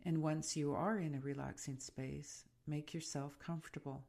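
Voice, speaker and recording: Low-pitched, creaky woman guiding meditation. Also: fairly even intonation. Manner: soothing, unhurried, gently instructive. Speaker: meditation guide and podcast host. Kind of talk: guided meditation narration.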